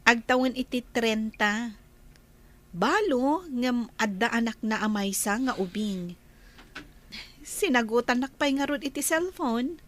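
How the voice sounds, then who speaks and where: Slow, gentle young woman, acted out. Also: a little high, animated. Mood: friendly, chatty. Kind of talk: radio drama acting.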